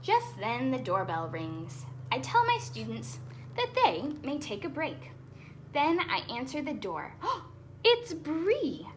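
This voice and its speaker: A woman's voice: sits high, huge pitch swings, slow pace, a little hiss or hum. A woman hosting a children's storytime, reading aloud expressively with chatty asides and explaining words simply for young listeners.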